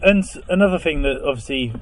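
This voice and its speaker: A young man interviewing a band member in a casual chat, asking halting questions full of restarts and "obviously". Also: on the high side, animated, slight background noise.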